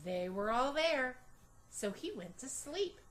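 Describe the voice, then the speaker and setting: A woman reading a children's story aloud as a storytime narrator, expressive and deliberate, with dramatic emphasis for young listeners. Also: high voice, a little hiss or hum. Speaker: storytime reader.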